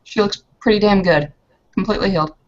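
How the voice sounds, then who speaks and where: A woman's voice: medium pitch, fast talker, lo-fi sound, crowd noise. A woman player casually improvising narration in a roleplaying game, hesitant, slangy and full of fillers.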